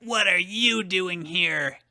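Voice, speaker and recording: Man plays bitter young son in fan fiction theatre: high, piercing, dry sound, sarcastic and snarling. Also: slow pace, pushed and bright, dry recording, heavily acted. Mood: furious. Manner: scornful, snarling, dramatic. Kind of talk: fan fiction dramatic reading.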